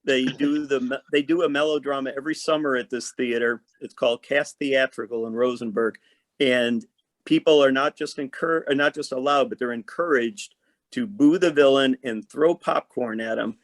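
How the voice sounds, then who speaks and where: A talkative man with a medium-pitched voice speaks as a guest actor on a podcast. He explains his work in an orderly, conversational way. The sound is dry, with very little room in it. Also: moderate pace, no room sound. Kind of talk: casual conversation about work.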